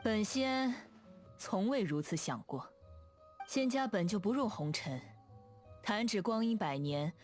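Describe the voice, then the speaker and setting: A woman's voice: mid-range voice, animated, unhurried, pauses often, a little mellow, lightly acted. A woman voicing a proud, aloof immortal adept in a video game, with a composed, lofty manner. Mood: aloof, reflective. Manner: lofty, archaic self-reference, composed. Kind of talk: game character voice line. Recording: background music behind the voice. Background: music.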